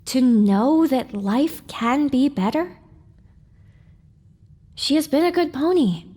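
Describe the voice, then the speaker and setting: High-voiced plucky young filly. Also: female voice, animated, takes it slowly, warm tone. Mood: earnest, pleading. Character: young, plucky, stubborn, idealistic filly heroine. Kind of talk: audio drama acting.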